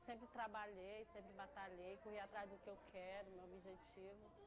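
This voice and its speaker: A woman's voice: mid-range voice, flat delivery, moderate pace. A woman from Brazil, a waitress, talking casually about her life in an interview. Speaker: café waitress. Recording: busy chatter in background.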